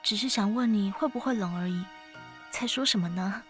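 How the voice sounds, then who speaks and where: A woman playing an ageless, gentle and wistful ferrywoman of souls in an audio drama, speaking in a reflective, poetic way with a quiet teasing edge. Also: medium pitch, brisk, lightly acted. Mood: dry, teasing. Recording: studio voice over background music.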